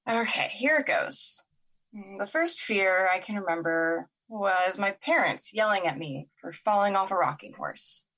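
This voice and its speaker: A woman's voice: mid-range voice, lively intonation, a little slow, a bit bright, low-quality recording. An actress in an audio drama playing a wounded, wary young woman who talks in a casual, hesitant and candid way. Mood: vulnerable, confessing.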